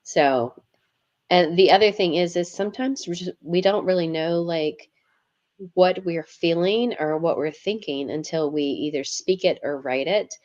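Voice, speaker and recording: A woman's voice: fairly low pitch, a little slow, darkish tone, lo-fi sound. An earnest woman guest talking about her experiences, often repeating words as she starts a phrase. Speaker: guest, yoga teacher and author. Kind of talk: casual interview conversation.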